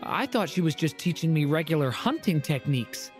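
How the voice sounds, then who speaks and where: A woman's voice: sits low, big pitch swings, brisk, darkish tone, faint music behind. A woman playing an earnest, naive young dragon child in a fantasy game, speaking in a thoughtful, wondering way.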